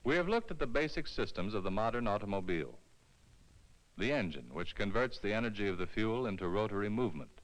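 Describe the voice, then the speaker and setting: A man reading a scripted instructional film narration in a clear, measured, explanatory way, as on a 1970s educational film soundtrack. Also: on the low side, very animated, poor audio.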